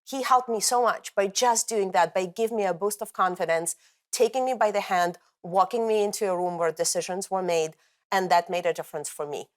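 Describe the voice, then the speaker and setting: A woman's voice: mid-range voice, brisk. Passionate woman guest from former Soviet Union. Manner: passionate, animated storytelling. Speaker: interview guest, corporate coaching leader. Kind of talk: conversational interview.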